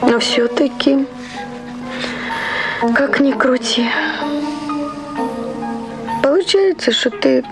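An actress playing a disillusioned, reproachful wife in an absurdist stage play. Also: female voice, high voice, animated, drawn-out words, pauses often, over music, heavily acted. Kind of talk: theatrical stage acting.

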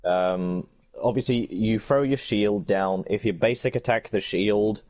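A man's voice: lowish voice, unhurried, darkish tone, no echo, dull audio. A young man talking through a game in a laid-back, dry way and explaining a character to friends. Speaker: experienced player giving tips. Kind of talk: casual gaming talk.

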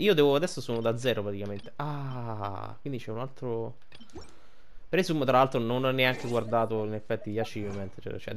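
Casual Italian gamer, man with music behind. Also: medium pitch. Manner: rambling, thinking aloud. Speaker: gaming streamer. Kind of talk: casual gameplay commentary.

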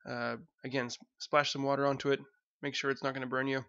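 A man's voice: medium pitch, level tone, fast, fairly bright tone, no echo, lo-fi sound. A young man narrating his home project step by step, plain and explanatory, with some fillers. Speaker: DIY video host.

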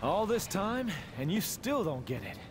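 An actor playing a cocky, world-weary middle-aged demon hunter in a video game, gravelly, with a wry drawl. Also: male voice, sits high, huge pitch swings, a little slow, very noisy, full character voice. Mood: wry, intent. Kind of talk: video game cutscene acting.

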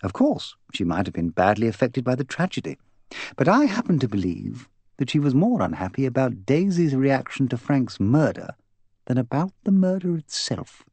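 Man voicing an imperious, gossipy woman, very animated. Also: mid-range voice, normal speed, slightly rough, no room sound. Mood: opinionated, emphatic. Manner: bossy, emphatic, voluble. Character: imperious, gossipy, opinionated English woman confiding at length. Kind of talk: audiobook character dialogue.

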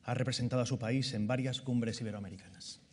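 A man giving a brisk, formal introduction of a speaker at an event. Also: mid-range voice, steady tone, a little mellow. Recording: reverberant hall.

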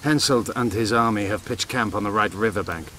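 Man voicing elf rebel leader, proud, bitter, low edged voice, grave oratory, fantasy game, faint noise. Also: brisk, close to an everyday voice. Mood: commanding. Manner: grave, declamatory, forceful. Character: proud, bitter male elven rebel commander. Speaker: video game character actor. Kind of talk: game dialogue acting.

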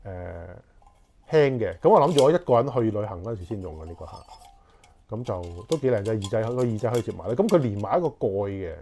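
A man in his forties speaks with a Hong Kong Cantonese accent, his voice sitting fairly low. He chats loosely and thinks aloud as he unboxes gear, with plenty of fillers. A lapel mic picks him up in a small living room, over a faint hiss. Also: animated, quick pace, dark tone. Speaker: camping gear reviewer. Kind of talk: casual unboxing talk. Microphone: clip-on lapel mic.

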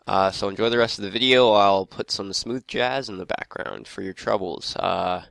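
A man's voice: on the low side, moderate pace, vocal fry, fairly bright tone, no room sound. A man hosting a gaming channel, congested, talking casually and self-deprecatingly to his viewers with lots of fillers.

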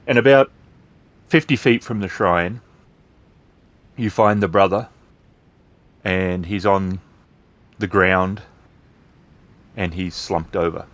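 A man's voice: low-pitched, takes it slowly, pauses often, a bit bright, dry recording. A man acting as game master, narrating the scenes of a tabletop role-playing game descriptively.

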